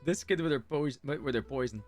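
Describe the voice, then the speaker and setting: A man's voice: mid-range voice, fairly quick, soft background music. A man giving casual live commentary on a game, playful and reactive, with muttered asides. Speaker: game streamer.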